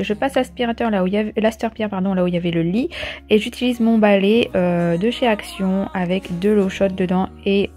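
Mellow-voiced woman narrating a casual vlog. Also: medium pitch. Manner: chatty, explanatory, step-by-step. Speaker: vlogger, host. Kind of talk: casual cleaning vlog voice-over. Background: soft background music.

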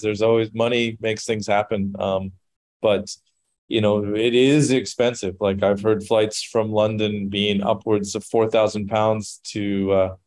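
Podcast host guy, lowish voice, dry close sound, easygoing chat. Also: darkish tone, no room sound. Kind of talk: casual chat. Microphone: video call.

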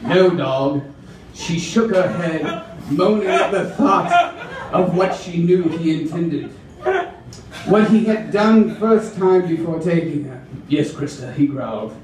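Slow, high-pitched man reading on an echoey stage. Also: animated, distant mic. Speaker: performer reading aloud on stage. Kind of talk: dramatic reading of erotic fiction for comic effect. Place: small bar stage, indoors. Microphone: stage mic on stand, recorded by audience camera from afar. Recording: echoey small venue from audience, crowd laughing.

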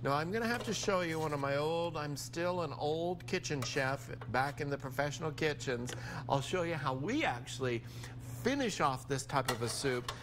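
A man's voice: on the high side, animated, moderate pace, noisy background. An American man in his sixties presenting a cooking demonstration, explaining steps conversationally as a TV chef. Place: indoor TV studio kitchen.

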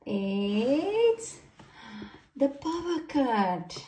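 A woman reads a children's story aloud in a high, very animated voice. She speaks straight to young listeners, expressively. The recording sounds roomy and a little distant. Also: extremely slow, slight background noise. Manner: addresses children directly, expressive. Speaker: storybook reader, channel host. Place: indoor room, away from the mic.

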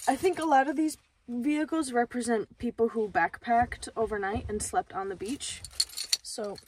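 A young woman in her twenties chatting casually to a handheld camera, giggly and prone to excited exclamations, filming in her car and on trails. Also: fairly high pitch, normal speed, slight background noise. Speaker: vlogger. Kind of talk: casual vlog chat to camera. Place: inside a parked car and outdoors on forest trails. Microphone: phone or camera held at arm's length.

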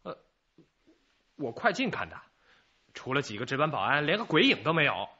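A man playing an excitable junior police detective in a radio crime drama. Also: fairly high pitch, normal speed, breathy edge, muffled recording, full character voice. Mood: exasperated.

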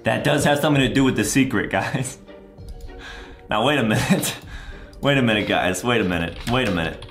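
A man's voice: mid-range voice, brisk, a bit bright, roomy sound. A young American man giving exaggerated, childlike gameplay commentary for kids, dramatic and repeating himself. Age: young adult. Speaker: gaming video host. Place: indoor recording room. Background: music.

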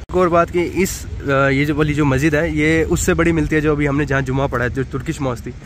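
A man's voice: a little high, steady tone, quick pace. Young man vlogging on a noisy street. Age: young adult. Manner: chatty, upbeat narration to camera. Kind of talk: casual travel vlog. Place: outdoors on city streets. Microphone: phone held at arm's length. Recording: noisy outdoor street recording. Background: traffic and street noise.

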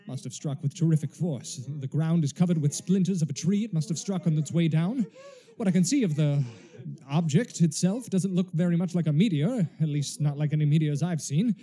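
An actor playing an earnest, excitable male news reporter in a 1930s-style radio drama, formal but prone to stammer. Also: a little high, breathy edge. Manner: formal announcer delivery, stammering when flustered.

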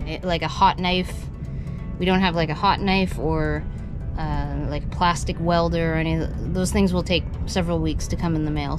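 Frustrated woman rambling on a sailboat. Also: fairly low pitch. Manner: rambling, frustrated, thinks aloud with many fillers. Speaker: sailing vlogger. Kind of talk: casual vlog talk to camera. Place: outdoors on a sailboat deck.

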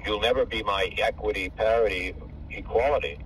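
A man's voice: on the low side, a little fast, a bit bright. Older man rambling on a distant phone call. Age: older, 65 or over. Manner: rambling, hard to follow, profane. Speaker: caller. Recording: distant phone call with faint car noise.

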